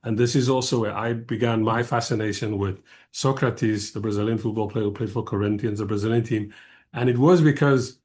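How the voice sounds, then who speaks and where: A man's voice: fairly low pitch, steady tone, a little fast, a little mellow. A man in his fifties, originally from South Africa, giving a thoughtful, digressive talk as a guest speaker on an academic panel. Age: 50s.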